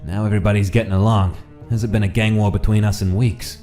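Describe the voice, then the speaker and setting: A man's voice: lowish voice, level tone, fast talker, mellow, soft background music, natural voice. A man voicing a tough gangster from the mafia underworld in a comic dub. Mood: matter-of-fact. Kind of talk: comic dub character acting.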